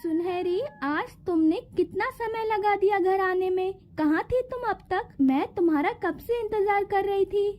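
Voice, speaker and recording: Woman as a high-voiced worried fairy mother. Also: unhurried, hardly any pauses, lo-fi sound, soft background music. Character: worried, loving fairy mother with failing eyesight.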